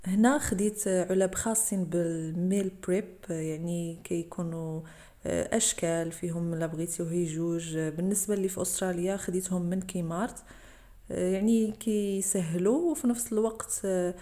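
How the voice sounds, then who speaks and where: A woman's voice: fairly low pitch, steady tone, fairly quick, mellow. A woman with a Moroccan Arabic accent, narrating a cooking tutorial over her video and explaining each step to the viewers. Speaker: cooking channel host.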